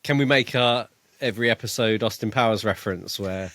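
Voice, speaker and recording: A man's voice: on the low side, a little fast, fairly bright tone, no echo. A man co-hosting a podcast, casually discussing a book in a chatty, jokey way. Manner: chatty, jokey, prone to laughing. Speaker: podcast co-host.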